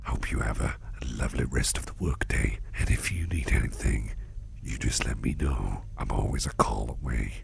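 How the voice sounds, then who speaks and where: A man playing a kindly businessman boss in a soft ASMR roleplay, gentle, doting and reassuring in a breathy half-whisper. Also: a bass voice, normal speed, heavily acted. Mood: warm, reassuring. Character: kindly, reassuring businessman boss looking after a valued employee.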